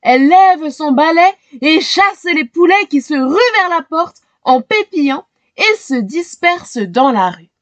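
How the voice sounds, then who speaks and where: A woman reading a children's storybook aloud in an expressive, animated way, as if to young listeners. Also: high-pitched, measured pace, no room sound. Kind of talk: expressive scripted storybook narration.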